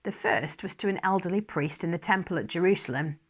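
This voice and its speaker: A woman reading scripted first-person narration aloud in an expressive storytelling style. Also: fairly low pitch, a little fast, husky. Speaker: story reader.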